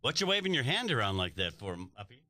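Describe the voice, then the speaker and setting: A blustering, wisecracking husband from an old radio comedy, played by a man. His bright voice bounces with lively pitch. It is recorded dry and close. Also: fast talker, no echo. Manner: blustery, joking. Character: blustering henpecked husband, Fibber McGee. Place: radio studio.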